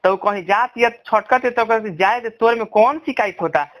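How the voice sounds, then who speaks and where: A man's voice: high-pitched, normal speed, fairly bright tone. A man with a Bhojpuri accent in a heated phone conversation, scolding bluntly and swearing crudely, on a recorded call.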